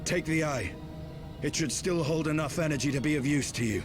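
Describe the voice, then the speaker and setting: Resolute fantasy warrior, male actor, higher-than-average pitch, clipped and commanding, game music clearly underneath. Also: brisk, natural voice. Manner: terse, commanding, formal.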